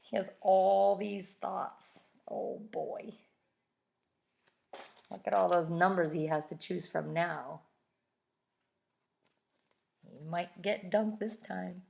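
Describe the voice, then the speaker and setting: A woman with a slightly low, creaky voice reads a children's story aloud. She takes her time, stepping out of the tale like a teacher to explain it in simple words. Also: steady tone, takes it slowly, pauses often, warm tone. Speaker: teacher reading a picture book aloud.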